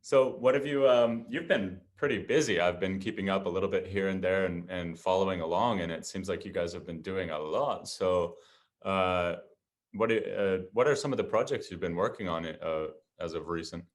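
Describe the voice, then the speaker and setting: A man's voice: low voice, normal speed. A man hosting an interview, talking in a loose, friendly way with many fillers. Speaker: podcast host. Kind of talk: casual interview chat. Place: home in Amsterdam.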